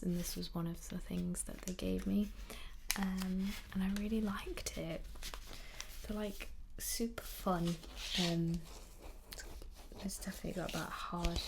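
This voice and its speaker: A young woman whispering in a soft-spoken ASMR chat, slightly croaky while getting over an illness, with frequent ums. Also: medium pitch, noisy background. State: recovering from illness, at times croaky. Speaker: ASMR creator.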